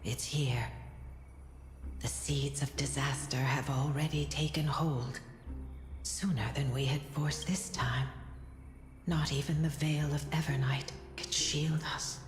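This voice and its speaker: Low, slow, solemn guardian spirit. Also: female voice, steady tone. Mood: grave, foreboding. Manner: solemn, formal, foreboding. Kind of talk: dramatic fantasy game voice acting. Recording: long echoing reverb, music underneath.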